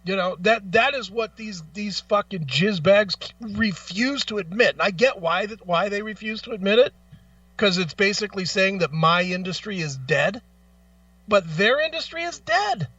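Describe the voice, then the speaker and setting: A man's voice: high voice, animated, normal speed, bright, forceful tone, no room sound, recorded from a distance, highs cut off. A man hosting a talk show, ranting casually in a blunt, profane, emphatic way and arguing through rhetorical questions.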